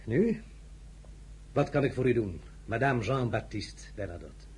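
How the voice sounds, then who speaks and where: A man's voice: medium pitch, expressive, unhurried, a little hiss or hum, lightly acted. Napoleon, swooping and grandiose played by a man. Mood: cool, probing. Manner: grandiloquent, teasing, theatrical. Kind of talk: Dutch radio drama acting.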